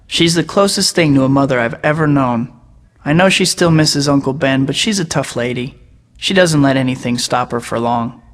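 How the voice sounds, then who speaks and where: A man's voice: mid-range voice, normal speed, long reverb tail, natural voice. An actor voicing a wisecracking young male superhero in a video game, trading sarcastic banter. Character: wisecracking young male web-slinging hero. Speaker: game hero voice clips. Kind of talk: scripted game voice acting.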